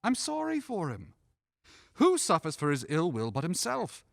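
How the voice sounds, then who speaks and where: Cheerful radio-drama young gentleman, male actor: jovial, earnest, lightly raised pitch, very expressive. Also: moderate pace, creaky, no room sound, studio quality, heavily acted. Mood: good-humoured, earnest. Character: cheerful, good-hearted young gentleman nephew. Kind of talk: stage-style radio drama acting.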